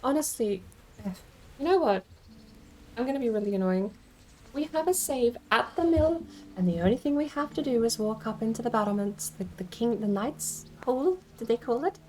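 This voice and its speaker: A woman's voice: on the high side, animated, moderate pace, darkish tone, rough recording. Chatty woman streamer thinking aloud. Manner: rambling, thinks aloud, questions herself. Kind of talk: casual gameplay commentary.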